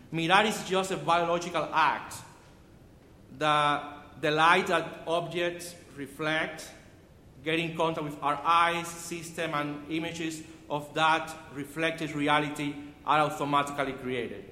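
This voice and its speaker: Slow, earnest Cuban-accented man preaching. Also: on the high side, bright, forceful tone, slight background noise. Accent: Cuban Spanish. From Cuba. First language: Spanish. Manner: measured, earnest delivery with frequent restarts. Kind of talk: sermon. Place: church sanctuary. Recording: echoey hall from far off.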